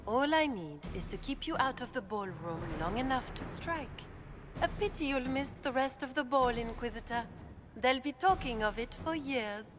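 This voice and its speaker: Woman doing smug royal villainess in a fantasy game, condescending n theatrical, loud music behind her. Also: on the high side, natural voice. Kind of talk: fantasy video game voice acting.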